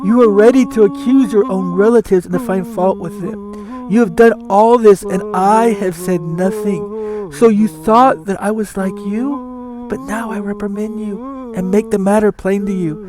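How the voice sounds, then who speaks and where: A man's voice: sits high, steady tone, normal speed. A man giving a solemn, declamatory, theatrical reading of scripture. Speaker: scripture reader. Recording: music under the voice. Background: music.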